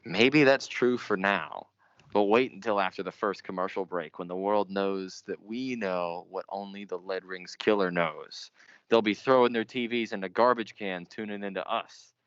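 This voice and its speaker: A young man playing a grandiose, obsessive radio announcer, theatrical and boastful in a high school radio play. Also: moderate pace, fairly bright tone, clean tone, no echo, close to an everyday voice. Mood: fervent, grandiose. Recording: dull lo-fi recording.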